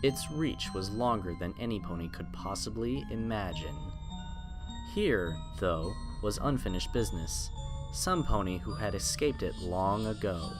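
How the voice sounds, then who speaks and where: A man's voice: on the low side, lively intonation, unhurried. A man reading a horror story as a scripted dramatic narration, solemn and suspenseful, drawing out key phrases. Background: music.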